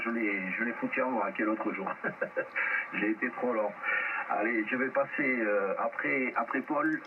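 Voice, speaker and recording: A man's voice: medium pitch, noisy background. A man chatting casually as an amateur radio operator, heard through a radio transmission. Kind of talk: casual radio contact chat.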